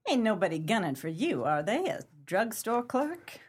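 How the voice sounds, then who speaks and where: A woman's voice: medium pitch, sing-song, normal speed, breathy edge. A woman playing a bossy, scheming 1930s gangster moll in a pulp radio play, curt and slangy. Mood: sly, commanding.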